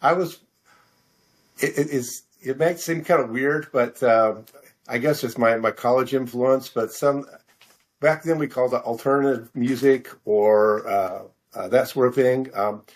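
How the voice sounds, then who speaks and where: A middle-aged American man answering questions in a casual interview, halting and self-deprecating as he searches for his words. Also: medium pitch. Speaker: author interview guest.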